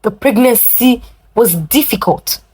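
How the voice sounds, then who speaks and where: A woman telling a folktale in a dramatic, deliberate, emotive way with drawn-out pauses. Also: fairly high pitch, animated, a little mellow, slight background noise, poor audio. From Nigeria. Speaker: storyteller. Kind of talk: dramatic oral folktale narration.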